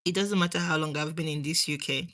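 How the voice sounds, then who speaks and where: A woman's voice: sits low, steady tone, quick pace, a bit bright. A young woman with a Nigerian accent chatting casually to viewers on a livestream, rambling and trailing off between thoughts. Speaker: livestream host.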